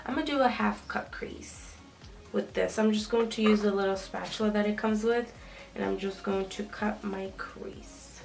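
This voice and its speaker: A woman's voice: mid-range voice, fairly even intonation, normal speed, gravelly, soft background music. A young woman vlogger with a stuffy, nasal voice from a cold, chatting casually with lots of ums as she does her makeup. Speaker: beauty vlogger. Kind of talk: casual makeup tutorial talk. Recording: slightly distant with faint noise.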